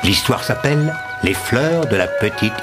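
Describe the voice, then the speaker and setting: An actor playing a gentle storybook narrator, delivering scripted narration for a cartoon fairy tale. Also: male voice, mid-range voice, lively intonation, unhurried, close to an everyday voice. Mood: welcoming. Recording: loud music under the narration.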